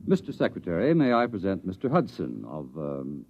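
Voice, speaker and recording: An actor playing a formal, hesitant government chief of protocol in a 1950s radio drama. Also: male voice, low voice, wildly swooping pitch, a little fast, heavy background noise, exaggerated voice. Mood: formal, flustered. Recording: muffled old radio recording.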